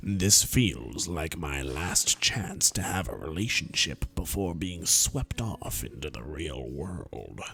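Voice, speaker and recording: A man in his twenties with a strained, tiring voice, reading a visual novel aloud in a slow, deliberate storybook manner with dry self-mocking asides. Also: extremely low pitch, very animated, gravelly, bright, forceful tone. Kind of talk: dramatic read-through of game text with asides to friends.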